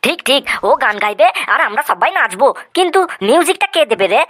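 A woman's voice: high-pitched, big pitch swings, quick pace, a bit bright, full character voice. A woman voicing an eager, questioning schoolkid in a comedy cartoon.

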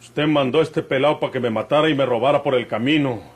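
An actor playing a bold, hot-tempered young outlaw with a rural Mexican accent and an emphatic rustic manner, in an old radio drama. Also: male voice, mid-range voice, quick pace, breathy edge, no room sound, natural voice. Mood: angry, threatening. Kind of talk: golden-age Mexican radio drama acting.

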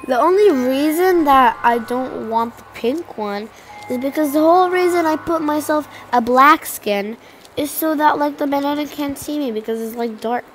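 High-voiced young girl gaming with a cold. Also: unhurried, slight background noise, poor audio. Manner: chatty, rambling, addresses viewers directly. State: slightly sick with a cold. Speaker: gaming channel co-host. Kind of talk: casual gameplay commentary. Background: game music.

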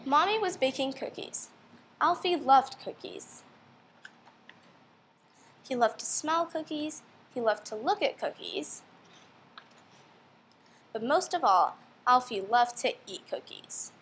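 Young woman, high-pitched, very varied intonation, children's read-aloud, expressive, background noise. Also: slow, long pauses. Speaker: storybook reader for children. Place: indoor room.

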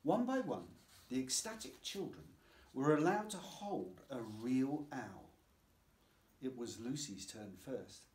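A man narrating a children's story as a scripted reading, in a measured, expressive way. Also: mid-range voice, a little hiss or hum. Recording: roomy, echoing voice.

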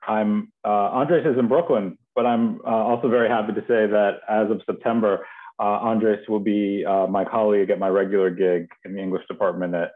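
A man in his thirties casually hosting a poetry reading over a video call, halting and repeating words. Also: lowish voice, narrow, tinny audio. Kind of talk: casual introduction of readers. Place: home kitchen, indoors. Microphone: video call, computer mic.

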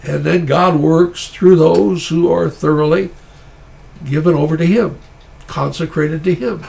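Stuffy-voiced man preaching, gravelly tone. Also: on the high side, steady tone, darkish tone. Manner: earnest, measured preaching with frequent restarts. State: stuffy nose. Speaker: pastor. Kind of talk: sermon.

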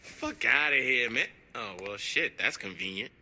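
A man's voice: mid-range voice, expressive, a little slow, pushed and bright. An actor playing a casual, slangy gangster sidekick who grumbles his way through a video game. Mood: grumbling. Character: laid-back, grumbling male gangster sidekick. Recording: clear noise behind dialogue.

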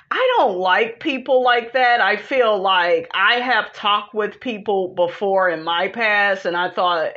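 A woman with an American accent hosting a gossip podcast, talking casually in a sassy, slangy way with animated asides, on muffled lo-fi audio. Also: medium pitch. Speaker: podcast host. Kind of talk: casual gossip commentary, reading posts aloud.

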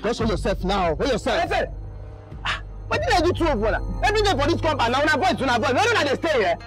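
A woman with a Nigerian accent playing a hot-tempered neighbour who shouts and scolds in a yard quarrel. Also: high-pitched, big pitch swings, quick pace. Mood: angry. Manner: loud, scolding in Pidgin. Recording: loud music behind the voice.

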